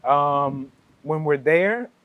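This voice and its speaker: A young man from the United States, a guest in a casual interview, telling stories in an animated way and laughing often. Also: fairly high pitch, extremely slow, phone-quality sound, slight background noise. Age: young adult.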